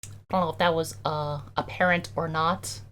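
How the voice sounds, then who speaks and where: A young adult streamer with a high-toned voice, chatting casually and filling every pause with "like" and "um" while working through audio edits live. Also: steady tone, fairly bright tone. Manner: chatty, heavy use of "like" and "um".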